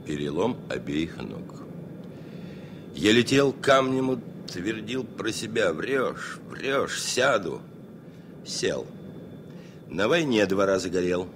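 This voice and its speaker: An actor playing a seasoned, stubborn airline pilot in a radio play, musing to himself in a deliberate way. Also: male voice, low-pitched, background noise, full character voice. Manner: deliberate, musing, self-talking. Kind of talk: 1970 radio play monologue.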